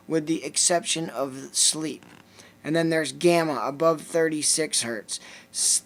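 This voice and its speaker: A man with a Lithuanian accent, co-hosting a casual podcast chat and reading passages from a book aloud. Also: on the high side. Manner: reads passages aloud in a steady list-like way. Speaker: podcast co-host.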